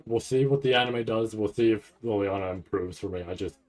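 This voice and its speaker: A man giving casual, rambling live commentary as a streamer, halting and restarting often as he thinks aloud. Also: lowish voice, darkish tone. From Canada. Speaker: streamer reacting to a live anime event.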